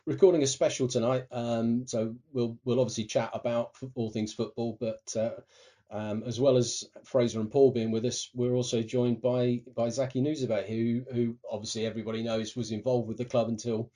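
Mellow-voiced man hosting a podcast. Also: mid-range voice, flat delivery, moderate pace. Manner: hesitant, repeats words, many fillers. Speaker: podcast host. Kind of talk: casual podcast interview.

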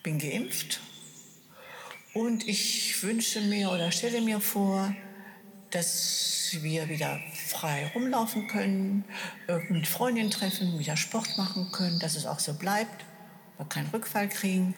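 A woman in her eighties gives a short, slow and reflective personal statement of her hopes. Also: medium pitch. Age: 80s. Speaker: interviewee. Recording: long hall reverb, faint background hiss.

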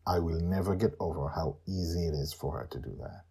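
A man's voice: low voice, brisk, mellow, breathy edge. A man hosting and telling a story in a theatrical, emphatic way, with asides to his listeners. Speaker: storyteller and host.

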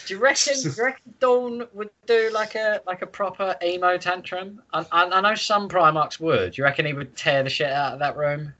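A man talks casually as a podcast host discussing a book. His voice is bright and punchy, and his manner is irreverent and sweary. The audio is a little dull and lo-fi. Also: high-pitched, steady tone, a little fast, hardly any pauses.

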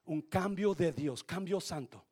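A male pastor with a Spanish accent preaches with a high, lively voice that climbs and falls all over. He mixes Spanish and English mid-sentence and moves between hushed lines and full shouts. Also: measured pace. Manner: swings from quiet to shouting. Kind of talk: bilingual sermon.

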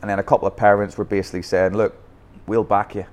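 Deep-voiced Northern Irish football coach. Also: male voice, level tone, fast talker, a little mellow. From Northern Ireland. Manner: candid storytelling with many restarts. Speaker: youth football academy founder. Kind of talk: podcast interview. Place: Bangkok.

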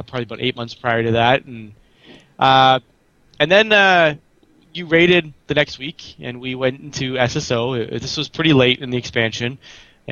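Bright-voiced Canadian man, muffled recording. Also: mid-range voice, clear, resonant tone, no echo. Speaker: guild member and raid officer on a group show. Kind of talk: casual gaming chat, telling anecdotes. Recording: muffled, lo-fi online voice chat.

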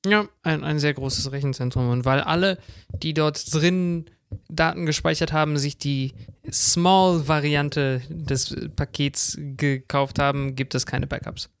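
A man's voice: on the high side, steady tone, a little slow, clean tone, no echo, studio quality. A man co-hosting a podcast, chatting informally and flippantly with English slang thrown in. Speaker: podcast co-host. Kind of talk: casual chat.

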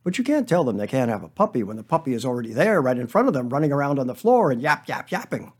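A man reading a comic story monologue as a weary, defensive schoolteacher who rambles in a deadpan, hesitant way. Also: mid-range voice, fast talker. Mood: resigned, deadpan. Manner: deadpan, hesitant, self-justifying digressions.

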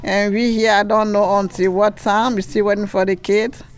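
Woman, hesitant interviewee, average pitch. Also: flat delivery, moderate pace. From Cameroon. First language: French. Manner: hesitant, simple phrasing, laughs between answers. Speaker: association treasurer. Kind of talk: casual interview answers.

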